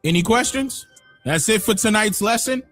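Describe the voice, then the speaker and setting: A man hosting a livestream, giving emphatic opinionated commentary that swings from loud exclamations to low muttering. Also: lively intonation, moderate pace, soft background music. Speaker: livestream host.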